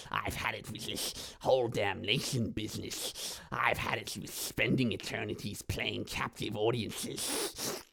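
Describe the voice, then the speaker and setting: A man voicing a sly, insolent undead male cultist with a gurgling, gravelly voice, slow and scheming, heard a little off the mic. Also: medium pitch, fairly bright tone, heavily acted.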